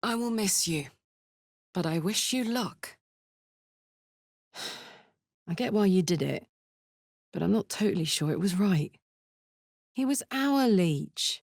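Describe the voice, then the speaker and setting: A woman's voice: mid-range voice, animated, slow, gravelly, pauses often, darkish tone, no echo, exaggerated voice. A tough, big-hearted warrior woman with devil's blood for a fantasy video game. She talks bluntly and earthily and takes her time over the words. The sound is close and dry. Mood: tender, regretful. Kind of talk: video game character voice acting.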